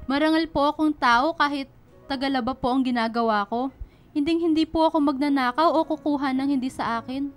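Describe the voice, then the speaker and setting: A woman's voice: sits high, brisk, lightly acted. A woman playing an honest, hardworking laundrywoman in a radio drama, earnest and polite. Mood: hurt, protesting. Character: honest, hardworking, humble laundrywoman and single mother. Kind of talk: Filipino radio drama acting.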